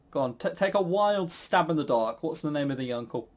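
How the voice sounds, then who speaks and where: Chuckly man with a lively, swooping voice. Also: fairly high pitch. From a Western country. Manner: chuckly, teasing. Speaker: quiz host. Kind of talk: casual quiz banter.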